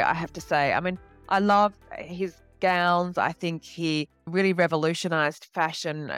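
A woman's voice: low voice, fairly bright tone. A woman novelist answering interview questions in a reflective, explanatory, conversational way.

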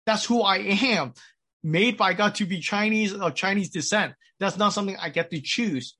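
A pastor delivering a sermon with an American accent, measured and pausing, with frequent restarts. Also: male voice, sits high, pushed and bright, breathy edge. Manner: measured preaching with pauses and restarts.

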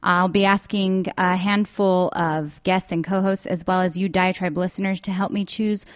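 A woman's voice: fairly low pitch, fairly even intonation, moderate pace. A woman hosting a casual local podcast, talking chattily with frequent ums and uhs. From Denver. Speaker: podcast host. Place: downtown clock tower.

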